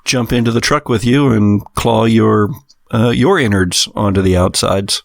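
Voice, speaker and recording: A man running a tabletop horror game as game master, narrating in a halting, conversational way. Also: lowish voice, gravelly, no room sound, clean studio sound.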